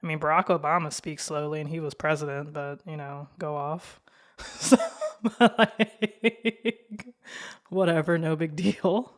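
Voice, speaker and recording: Slow, monotone young woman podcaster. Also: low-pitched. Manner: laid-back and candid, with frequent laughs and fillers. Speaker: podcast host. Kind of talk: casual solo podcast monologue.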